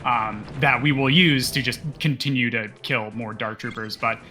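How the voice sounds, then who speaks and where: A man's voice: medium pitch, moderate pace, sharp tone, faint music behind. A man co-commentating a live speedrun, explaining the game in a casual way.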